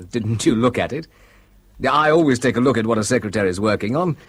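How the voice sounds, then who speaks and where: A man's voice: medium pitch, big pitch swings, normal speed, husky. An actor playing a dry, probing young man in a radio drama. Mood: wry. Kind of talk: 1970s radio drama acting. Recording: old radio broadcast with faint hiss.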